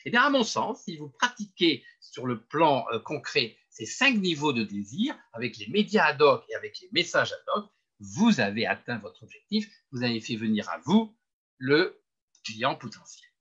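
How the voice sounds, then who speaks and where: Animated older French man lecturing. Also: medium pitch, moderate pace, breathy edge. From France. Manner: animated, emphatic lecturing with self-deprecating humor. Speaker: webinar speaker. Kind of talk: marketing webinar presentation. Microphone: webinar computer mic. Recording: muffled lo-fi webinar audio.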